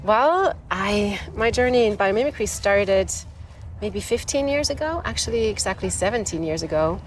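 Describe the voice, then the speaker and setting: A woman from Switzerland, a design professor, telling her story with enthusiasm in a relaxed outdoor interview. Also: medium pitch, normal speed, slight background noise. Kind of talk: conversational interview. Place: outdoors in a desert botanical garden.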